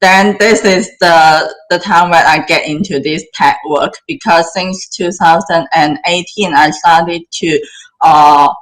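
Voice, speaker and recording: A woman's voice: on the low side, fairly even intonation, rough recording. A woman in her thirties from southern China, speaking in an interview, often restarting her sentences. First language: Cantonese. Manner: halting, many restarts. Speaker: guest filmmaker. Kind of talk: casual interview talk.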